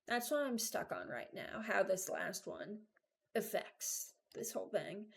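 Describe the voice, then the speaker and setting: A young adult woman giving casual, unscripted reaction commentary, hesitant and thinking out loud. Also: medium pitch, animated, a little slow, creaky. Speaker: video creator ranking game voice lines.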